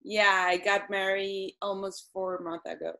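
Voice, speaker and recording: A woman, answering interview questions hesitantly with many pauses and ums. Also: medium pitch, level tone, bright, forceful tone. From Venezuela. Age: 30s. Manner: hesitant, frequent ums and restarts. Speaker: guest.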